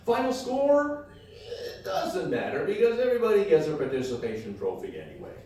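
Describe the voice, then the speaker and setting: A man's voice: on the high side, very animated, moderate pace, darkish tone. A man co-hosting a live comedy radio show on stage, reading scripted mock announcements. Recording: echoey hall stage, distant mic.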